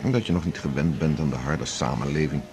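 A man's voice: extremely low pitch, fast, crowd noise. An actor playing a workaholic middle-aged male internist in a 1980s radio drama, talking in a wry, self-mocking, confiding way. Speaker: hospital internist and chief.

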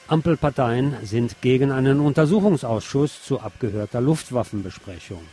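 A man's voice: medium pitch, expressive, normal speed, dark tone, faint music behind. A man hosting a news show, reading press articles aloud and adding sardonic, emphatic commentary. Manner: sardonic asides, emphatic repetition.

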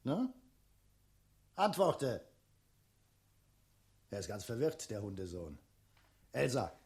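A man's voice: medium pitch, sing-song, slow, a little mellow. A male actor playing a bullying, leering dragon in human shape in a 1960s radio play, switching between barked orders and oily sweet-talk. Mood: mocking, domineering.

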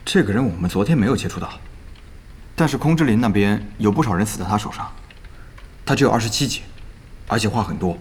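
An earnest intelligence aide in a gaming radio drama, played by a man. His voice sits a little low and moves expressively as he gives formal reports. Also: lively intonation, close to an everyday voice. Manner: measured, reporting analysis.

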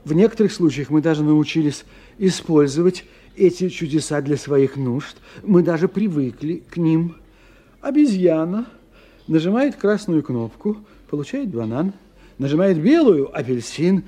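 An actor in a radio play voicing a learned, courteous scientist, skeptical and a little bemused, who phrases his thoughts hesitantly and deliberately. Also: on the high side, expressive, dark tone. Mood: musing. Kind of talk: 1990 Russian radio play dialogue.

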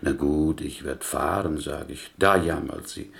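A man acting in a German radio play as a talkative, pious butcher from Poland, gentle and wily, rambling along in inverted, Yiddish-style phrasing. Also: a bass voice, unhurried, gravelly, exaggerated voice. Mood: musing, anecdotal.

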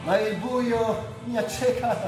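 An actor playing a tormented, delirious man narrating his own death in a declamatory radio-theatre monologue that moves between hushed and shouted delivery. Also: very high voice, takes it slowly. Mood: anguished, hallucinating. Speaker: stage actor. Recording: very echoey, distant, music behind.

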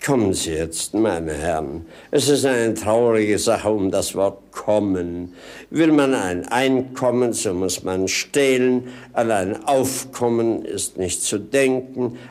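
An actor playing a cheeky, idle, punning companion in a 1950s German radio play, with theatrical, singsong clowning. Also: male voice, medium pitch, a little mellow.

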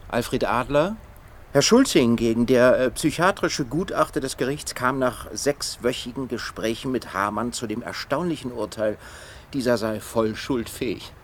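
An actor playing an earnest, articulate professor with a measured, ironic manner in a German radio drama. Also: male voice, mid-range voice, close to an everyday voice. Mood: earnest, insistent. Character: earnest male professor, narrating and pleading for mercy.

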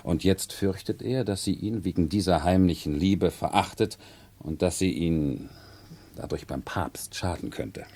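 Very deep-voiced, earnest retainer. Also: male voice, unhurried, vocal fry, mellow, heavily acted. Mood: earnest, deferential. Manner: deferential, explanatory, precise. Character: obliging, earnest male retainer in costume service. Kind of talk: 1970s German radio play acting.

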